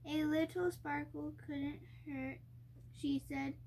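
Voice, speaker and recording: A young girl reading a storybook aloud haltingly, sounding out and stumbling over harder words. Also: sits high, level tone, vocal fry, a little mellow, a little hiss or hum, low-quality recording. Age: child. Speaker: young reader co-hosting a family reading video.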